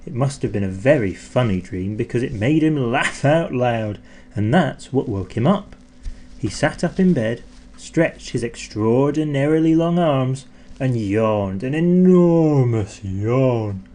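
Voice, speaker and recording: A male storyteller with a mid-pitched voice reads to children as an audiobook narrator. His delivery is theatrical and expressive, stretching key words for effect.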